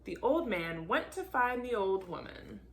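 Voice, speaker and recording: A woman's voice: medium pitch, sing-song, a little hiss or hum. A woman reading a children's story aloud in a theatrical storytelling style, stretching out key words for emphasis. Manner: theatrical, drawn-out emphasis on repeated action words. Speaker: volunteer storybook reader.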